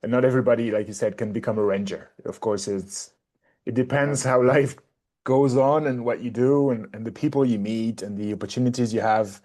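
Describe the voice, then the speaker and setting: A man's voice: medium pitch, steady tone, a little fast, darkish tone. A man hosting a podcast interview, asking loosely phrased, friendly questions. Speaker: podcast host.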